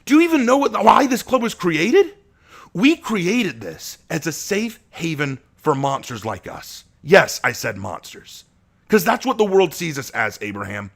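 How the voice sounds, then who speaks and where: A man voicing a tormented young male vampire with a volatile temper, who bursts into shouting rage and anguish. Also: extremely low pitch, normal speed, long pauses, pitched below the performer's own voice.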